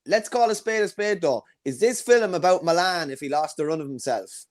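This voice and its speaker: A man's voice: fairly high pitch, animated, brisk. A man co-hosting a show, joking and teasing the guest in casual banter. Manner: teasing, jokey. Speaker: podcast co-host.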